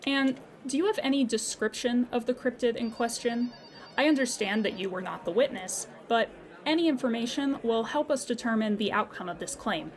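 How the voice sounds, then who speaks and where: A woman playing a patient, courteous insurance customer service agent in a scripted audio comedy, speaking formally and with measured politeness. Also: on the high side, close to an everyday voice. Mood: patient, apologetic. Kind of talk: scripted comedy audio drama phone call.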